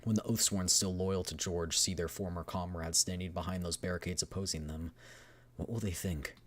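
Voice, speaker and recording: Male streamer, low register, expressive narrator of a game's story, measured delivery. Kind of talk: dramatic scripted narration, reading a game story aloud.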